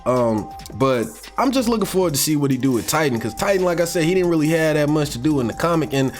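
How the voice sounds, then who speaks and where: An American man giving casual, unscripted fan commentary in a slangy, rambling, excitable way, like an online video creator. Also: on the high side, fast talker. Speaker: YouTube commentator on comics and animation. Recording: music playing behind the voice. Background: background music.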